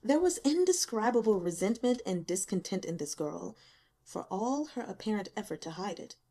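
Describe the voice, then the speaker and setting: A woman reading a novel aloud as expressive scripted narration. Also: mid-range voice, moderate pace. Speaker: audiobook reader.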